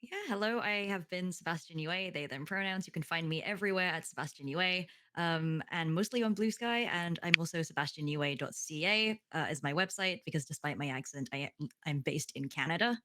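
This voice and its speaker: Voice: medium pitch, a little fast, bright, forceful tone. A guest player and game designer giving a casual, polite, matter-of-fact sign-off.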